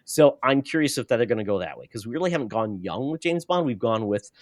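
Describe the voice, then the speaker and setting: A man's voice: medium pitch, big pitch swings, fast, no echo, studio quality. A man co-hosting a podcast, talking casually about movie news. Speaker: podcast co-host.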